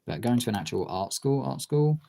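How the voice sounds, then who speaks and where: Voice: mid-range voice, expressive, a little fast, creaky, mellow, a little hiss or hum. A streamer chatting casually while drawing, rambling and thinking aloud in a self-deprecating way.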